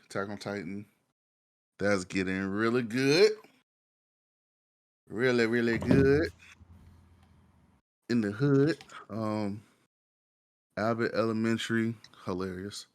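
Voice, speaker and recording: A man with a fairly low voice talks as a panelist on a casual show. He rambles informally, dropping slang and swear words as he goes. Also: smooth. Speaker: podcast panelist reviewing shows.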